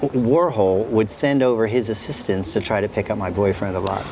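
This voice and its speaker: A man's voice: lowish voice, fairly even intonation, normal speed. A man being interviewed, telling anecdotes casually and frankly, with swearing. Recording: clear background noise and room ambience.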